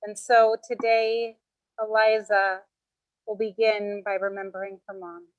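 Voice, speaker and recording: Even-toned woman officiating a funeral. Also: mid-range voice, a little slow, dry recording, highs cut off. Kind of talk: formal introductions of speakers.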